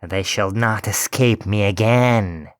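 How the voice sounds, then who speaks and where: A man voicing a cruel, mocking old witch in a storybook reading, slow and muttering. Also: lowish voice, no echo, clean studio sound. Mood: menacing.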